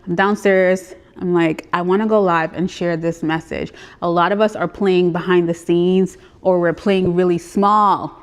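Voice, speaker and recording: A woman's voice: lowish voice, fairly even intonation, unhurried, hardly any pauses, smooth. A woman from Trinidad whose accent is mixed with Brooklyn and Texas, a business coach giving an earnest, chatty motivational talk on a live stream and greeting viewers as she goes.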